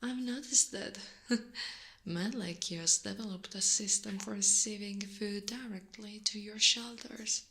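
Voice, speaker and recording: A seductive female spider monster, played by a woman whose native language is Italian, speaks in an intimate ASMR roleplay. She takes her time, drawing out words in breathy near-whispers at a medium pitch. Her manner is teasing, hungry and amusedly condescending toward her prey. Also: steady tone, measured pace, pushed and bright. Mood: hungry, sly. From Italy.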